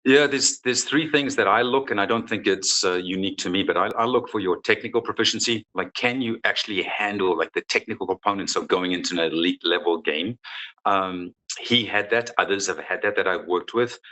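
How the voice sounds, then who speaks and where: A man's voice: low-pitched, fairly quick, sharp tone, low-quality recording. A middle-aged man from South Africa, a football coach, answering interview questions in an earnest, explanatory way. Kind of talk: conversational interview.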